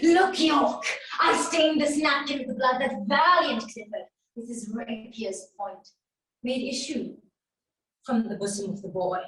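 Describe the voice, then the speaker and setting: A vengeful warrior queen in a Shakespearean stage monologue, her voice high and echoing around the room. She taunts with theatrical relish, drawing out her words slowly and mockingly. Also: fairly bright tone. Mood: cruel, gloating. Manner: theatrical, taunting, drawn-out delivery with swings. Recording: echoey room, distant microphone.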